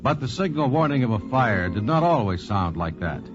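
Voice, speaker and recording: A dull vintage radio recording with loud music behind a man narrating in 1940s style. His polished announcer delivery is dramatic and highly animated, swinging up and down in pitch. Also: normal speed. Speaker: host and narrator.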